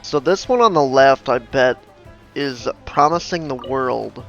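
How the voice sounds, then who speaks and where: A man's voice: medium pitch, animated, unhurried, gravelly. A man giving casual gameplay commentary, reacting to dialogue in an offhand, dryly judging way. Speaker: gamer reacting to game dialogue. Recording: slightly dull mic, faint game music.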